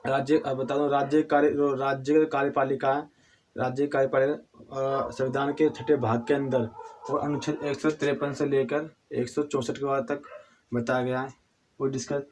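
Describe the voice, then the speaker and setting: A hoarse man with a cold teaching a civics lesson, halting and restarting often, asking questions and answering them himself. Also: mid-range voice, level tone, a little mellow. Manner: halting, with frequent restarts and throat clearing; addresses viewers as friends, asks and answers own questions.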